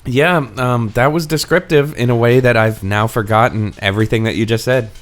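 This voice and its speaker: A man's voice: mid-range voice, fairly even intonation, a little fast. Irreverent, jokey male podcast host. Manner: irreverent, jokey, laughs through his sentences. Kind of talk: casual comic book-discussion chat.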